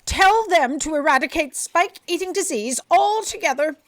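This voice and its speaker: A woman plays an eccentric editor in chief in a comic audio drama. Her voice is high and swoops dramatically. She sounds imperious, grandiose and impulsive. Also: lively intonation, moderate pace. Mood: outraged resolve. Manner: imperious, theatrical.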